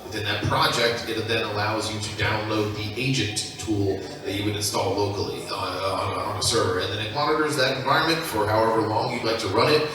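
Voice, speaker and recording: A man's voice: on the low side, fairly even intonation, brisk, few pauses. An American man on a conference panel, speaking hesitantly with many fillers. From United States. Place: large conference hall. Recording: echoey hall, distant mic, crowd behind.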